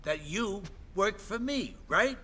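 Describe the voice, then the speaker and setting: A man's voice: high voice, lively intonation, takes it slowly, bright, forceful tone, full character voice. A man playing a brash, volatile mafia don in a video game, with an Italian-American accent and a blustering, theatrical manner. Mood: smug, bullying. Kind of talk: video game crime drama acting.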